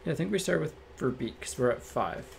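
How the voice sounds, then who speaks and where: A man with a slightly raspy voice hosts a card break, reading off each card in a relaxed, matter-of-fact way and thinking out loud. He is recorded indoors at a table by a fixed camera. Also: medium pitch, a little fast, a little hiss or hum. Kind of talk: casual card-opening commentary.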